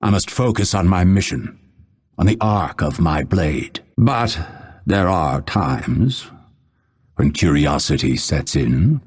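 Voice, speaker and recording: A man playing a brooding, vengeful male assassin in a video game, with a husky voice and a measured, theatrical, menacing delivery. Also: low-pitched, animated, exaggerated voice. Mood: brooding, solemn. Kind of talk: dramatic game character voice lines.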